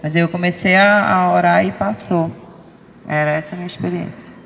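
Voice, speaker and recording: A man with a Brazilian accent giving a plain religious testimony, haltingly and with frequent little tag questions. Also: sits high, fairly even intonation, creaky, fairly bright tone. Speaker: church member giving testimony. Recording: noisy, dull, reverberant hall recording.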